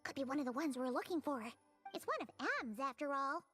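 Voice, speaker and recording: A woman voicing a tiny, excitable fairy girl in a video game, squeaky and childlike, curious and suspicious. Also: a little fast, faint music behind. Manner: speaks of herself in third person, exclamatory.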